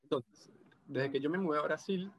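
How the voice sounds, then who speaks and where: Venezuelan Andean man, halting speech. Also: a little high, slight background noise. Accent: Venezuelan Andean (gocho) Spanish. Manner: hesitant, many restarts and fillers. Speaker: interview guest. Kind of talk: casual video interview.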